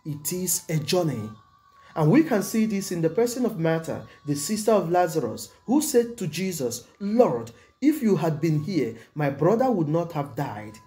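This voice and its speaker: Mellow-voiced priest with lively intonation. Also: male voice, high-pitched. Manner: measured, solemn, formal delivery. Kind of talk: scripted homily. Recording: music behind the voice. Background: background music.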